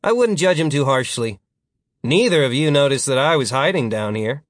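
A man's voice: medium pitch, expressive, normal speed, no echo, clean studio sound, pitched above the performer's own voice, close to an everyday voice. A man voicing a smug, teasing male friend in an audiobook story, glib and matter-of-fact. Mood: dry, amused.

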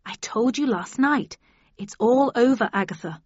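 A woman's voice: on the high side, lively intonation, measured pace, a little mellow, husky, no echo, highs cut off, pitched above the performer's own voice. A woman voicing a brooding, conflicted male detective in an audiobook, earnest and protesting. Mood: firm.

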